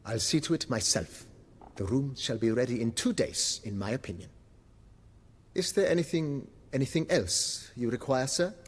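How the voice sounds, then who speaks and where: A man playing a deferential, fussy butler in a video game, with a breathy, husky voice and formal, florid, obsequious speech. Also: mid-range voice, lively intonation, moderate pace, long reverb tail. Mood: eager, deferential. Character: deferential, fussy, eloquent male butler of an estate.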